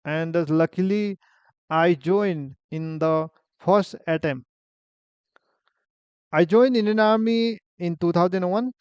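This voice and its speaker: Nepali-accented male vlogger in his forties, high smooth voice, unhurried personal storytelling with lots of pauses. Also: a little mellow, dry recording, muffled recording. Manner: halting, many fillers and restarts, simple phrasing. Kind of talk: personal story, casual monologue.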